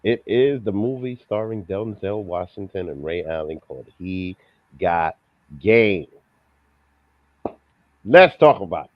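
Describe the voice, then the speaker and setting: A man's voice: sits low, animated, measured pace, long pauses, dry recording, dull audio. A man hosting a movie review show, introducing the panel and chatting casually about films.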